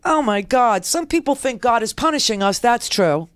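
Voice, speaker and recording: Sarcastic talk radio woman, high and bright. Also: expressive, normal speed, no echo, studio quality. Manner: sarcastic, heckling, combative. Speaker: radio talk show host. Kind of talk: political talk radio monologue.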